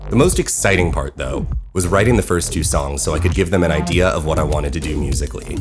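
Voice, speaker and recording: Deep-voiced man hosting his own podcast. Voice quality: soothing radio voice. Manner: fast-talking, conversational, candid. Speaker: podcast host. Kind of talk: personal podcast narration.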